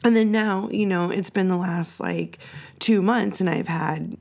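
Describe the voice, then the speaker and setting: A woman hosting her own podcast, raspy and hoarse from being sick, rambling and swearing casually. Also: lowish voice, unhurried, a little mellow. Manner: rambling and profane, lots of fillers. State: sick, hoarser than usual. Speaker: podcast host. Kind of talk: casual solo podcast chat.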